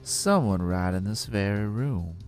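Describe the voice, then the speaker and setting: A man's voice: on the low side, expressive, a little slow, darkish tone, soft background music, voice pushed down. A man voicing a composed young male prosecutor in a fan voice-over of a courtroom game.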